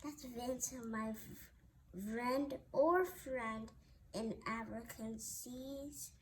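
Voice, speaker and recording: A woman reading a rhyming children's Christmas story aloud, haltingly, often stumbling over and repeating words. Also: on the high side, steady tone. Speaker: storybook reader. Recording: noticeable background noise.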